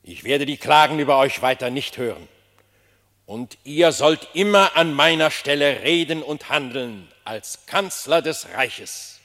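An actor playing a gracious, commanding lion king in a German radio play, speaking in a stately, measured way. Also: fairly high pitch, animated, a bit bright, long reverb tail. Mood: regal.

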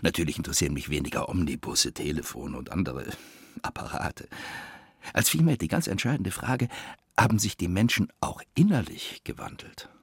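An actor playing a courteous, brooding older devil in a radio play, with deliberate phrasing and a gravelly, husky voice. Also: male voice, sits low, expressive, dry recording, clean studio sound, exaggerated voice. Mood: musing, probing. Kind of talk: German radio play acting.

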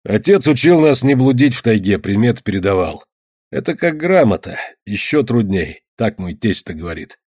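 A man's voice: fairly low pitch, sing-song, normal speed, a little mellow, slightly rough, no room sound, clean studio sound. A man voicing a cheerful, self-assured taiga hunter in an audiobook, talking in a folksy, confident way. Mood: easygoing. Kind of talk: acted audiobook dialogue.

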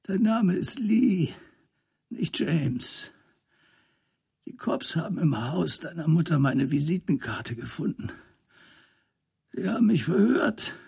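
An actor playing a frail old man who slowly recalls the past in a radio drama, his voice gravelly and husky. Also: a little high, sing-song, a little mellow, heavily acted. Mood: frail, remembering. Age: older.

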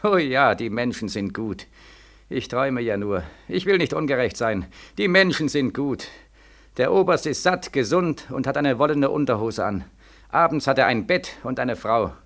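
A young soldier back from war, bitter and accusing. His voice is forceful and swings widely in pitch as he declaims with biting sarcasm. It is impassioned vintage radio drama, repeating its accusations insistently. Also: animated, moderate pace. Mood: bitter irony. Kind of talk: 1940s radio play acting. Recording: vintage 1940s radio recording.

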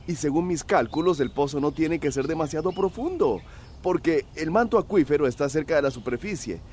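An actor playing a knowledgeable village well builder in a radio drama, explaining methodically. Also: male voice, fairly high pitch, expressive, fairly quick, a little mellow, a little hiss or hum, lightly acted. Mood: instructive.

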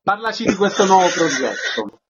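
A man's voice: high voice, big pitch swings, moderate pace, noisy background. A man hosting a radio interview, announcing shows with brief, formal lines. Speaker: radio host. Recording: dull lo-fi radio sound.

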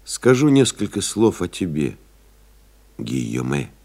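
An actor playing a pensive airmail pilot who recalls lost comrades in a literary audio drama, solemn and reflective, with measured dramatic pauses. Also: male voice, low voice, big pitch swings, vocal fry, a little mellow, exaggerated voice. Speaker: actor reading a literary memoir as narrator.

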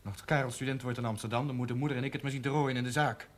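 An actor playing a resentful, sceptical brother in a television drama, sarcastic and grumbling. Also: male voice, mid-range voice, steady tone, very fast, lightly acted. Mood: sour, resentful. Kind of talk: television period drama acting.

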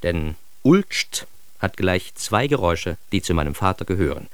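A man's voice: low-pitched, very animated, moderate pace, clean tone, dry recording. A man playing a neurotic, self-mocking narrator of his own childhood in a radio drama, in standard German with a wry, theatrical manner.

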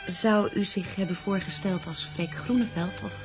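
An actress playing a polite, sensitive young female teacher in a radio drama, speaking in an earnest, soft way. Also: medium pitch, fairly even intonation, normal speed, lightly acted. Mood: polite, curious. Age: young adult. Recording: radio play with music underneath.

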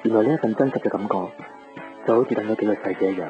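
An actor playing a devoted, teasing young boyfriend in a Cantonese radio drama. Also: male voice, lowish voice, rapid-fire, natural voice. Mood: earnest, reflective. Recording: dull radio broadcast with music.

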